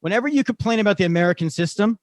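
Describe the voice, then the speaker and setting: A man co-hosting a comedy podcast, chiming in with quick, joking banter. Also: sits high, lively intonation, no room sound, clean studio sound. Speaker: podcast co-host. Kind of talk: casual comedy podcast chat.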